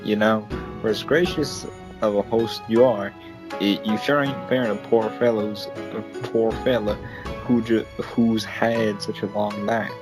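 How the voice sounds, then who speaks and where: A man's voice: lowish voice, fairly even intonation, unhurried, close to an everyday voice. Man putting on a folksy grumbling cowboy over music. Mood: playful teasing. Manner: folksy, rambling, stumbling over lines. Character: gruff male cowboy hunter, playfully grumbling and flustered. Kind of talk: amateur fan fiction character reading.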